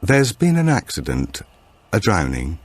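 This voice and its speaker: A man's voice: fairly low pitch, wildly swooping pitch, a little slow, husky, voice pushed up, heavily acted. A man voicing a guarded policewoman who breaks bad news in a careful, formal, restrained way in an audiobook. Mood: guarded, mechanical.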